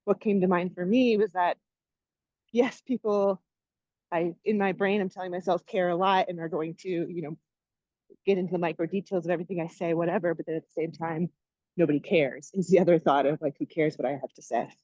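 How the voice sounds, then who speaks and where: A woman's voice: lowish voice, fairly even intonation, a little fast, darkish tone, dry recording. A woman taking part in a workshop who reflects and thinks aloud about her doubts. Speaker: workshop participant. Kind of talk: casual sharing. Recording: dry, dull lo-fi sound.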